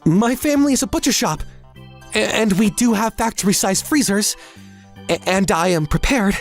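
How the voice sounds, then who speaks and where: A man's voice: high voice, expressive, moderate pace, faint music behind, pitched above the performer's own voice. A man voicing a nervous, apologetic young subordinate, stammering and pleading, in a comic drama dub. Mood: panicked, apologetic.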